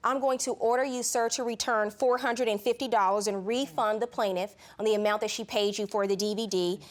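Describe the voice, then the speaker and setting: A woman's voice: mid-range voice, steady tone, brisk. A woman judge questioning and ruling in a courtroom in a firm, direct, formal way.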